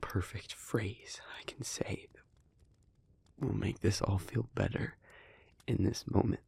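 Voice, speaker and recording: A man acts out a devoted, solemn demon in an intimate audio roleplay. The voice is very husky and breathy, often sinking into a whisper. It moves slowly and haltingly, gentle and full of earnest remorse. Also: on the low side, fairly even intonation, heavily acted. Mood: apologetic, earnest. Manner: slow, halting, earnest, with long pauses.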